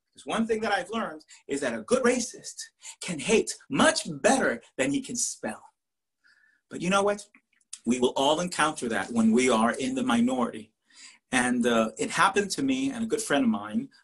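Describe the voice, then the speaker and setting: A man's voice: fairly high pitch, fairly bright tone, breathy edge, low-quality recording. Puerto Rican man telling a story. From Puerto Rico. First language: Spanish. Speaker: professor and poet. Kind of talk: storytelling talk to an audience.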